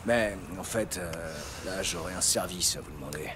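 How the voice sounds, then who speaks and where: Low-voiced actor as streetwise police informant. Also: male voice, moderate pace, background noise, natural voice. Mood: guarded, casual. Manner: casual, slangy. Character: streetwise small-time informant, stubborn and worried. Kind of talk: audio drama dialogue. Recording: loud music and city vehicle sounds behind.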